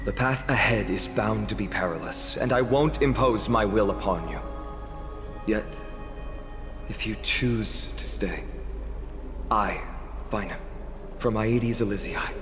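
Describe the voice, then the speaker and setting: A man's voice: on the low side, measured pace, pauses often, lightly acted. An actor playing an earnest young male hero of a fantasy game, speaking in a solemn, formal, heartfelt way. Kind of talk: video game voice acting. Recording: long reverb, music behind.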